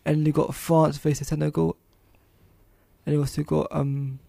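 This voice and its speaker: A man contributing casual sports chat on a radio show, halting and repeating words as he searches for them. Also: a little high, little pitch movement, gravelly, warm tone, dry recording.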